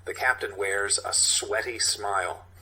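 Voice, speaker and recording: A man's voice: on the low side, steady tone, unhurried, pushed and bright, a little hiss or hum, low-quality recording. A man hosting a podcast, chatting casually and reading out a comic script. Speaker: podcast host.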